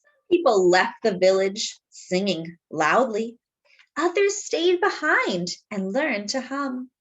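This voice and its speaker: A woman reads a picture book aloud to children. Her voice is fairly high and swoops expressively, with slow, drawn-out emphasis. The sound is a little dull and lo-fi. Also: animated. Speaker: children's storyteller. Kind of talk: expressive picture-book read-aloud with asides to young listeners.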